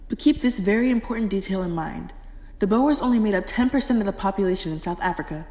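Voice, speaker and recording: A woman's voice: mid-range voice, fast talker, a little mellow. A woman giving scripted educational narration, recorded in a reverberant room. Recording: echoey room with long reverb.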